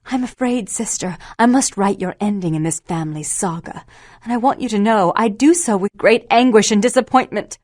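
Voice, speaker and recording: A woman's voice: mid-range voice, a little mellow, dry recording, studio quality, close to an everyday voice. Woman acting a cold, imperious vampire sister. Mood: cold, regretful. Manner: haughty, measured, disdainful. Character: prim, controlling, cold, imperious elder sister, self-righteous vampire baron. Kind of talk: dramatic video game voice acting.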